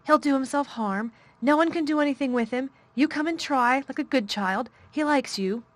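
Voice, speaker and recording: A woman voicing a frightened nurse who talks in a hurried, pleading way. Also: high-pitched, no echo, voice pushed up, lightly acted.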